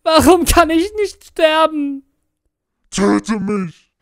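A man's voice: extremely high pitch, big pitch swings, drawn-out words, no room sound, studio quality, pitched above the performer's own voice, heavily acted. A man voicing a tormented, lonely undead creature that pleads desperately in a horror story. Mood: desperate, pleading. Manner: desperate, crying out.

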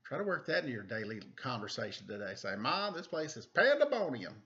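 A man's voice: medium pitch, very animated, a little fast, pushed and bright. A man in his forties hosting a children's storytime at home, reading a picture book with playful, chatty asides. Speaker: storytime host and reader. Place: room at home. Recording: dull lo-fi webcam sound.

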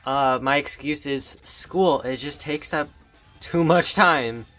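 A man's voice: fairly high pitch, slow pace, fairly bright tone, soft background music. A teenage boy talking casually and offhandedly while reviewing toys, his hands handling them on a table. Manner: chatty, offhand. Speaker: toy reviewer. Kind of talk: casual toy review vlog.

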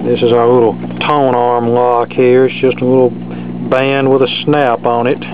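A man's voice: medium pitch, completely flat delivery, moderate pace. A man giving a casual, matter-of-fact demonstration as a hobbyist, recorded on a camera microphone in an indoor workshop. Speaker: hobbyist demonstrating restored vintage electronics. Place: cluttered indoor electronics workshop. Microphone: handheld camera microphone. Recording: noisy, thin phone-like camera audio, loud hum.

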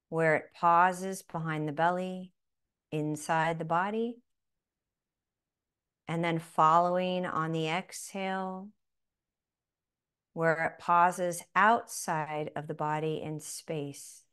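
A woman guiding a breathing meditation, speaking softly with long pauses between phrases. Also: on the low side, fairly even intonation, clean tone. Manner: slow, soft-spoken, with long pauses. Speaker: meditation guide.

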